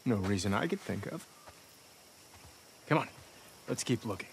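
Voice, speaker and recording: An actor playing a middle-aged American doctor and devoted father, gentle but resolute, in a naturalistic video game style. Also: on the low side, expressive, normal speed, creaky, background noise. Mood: warm, teasing. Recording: music under the dialogue.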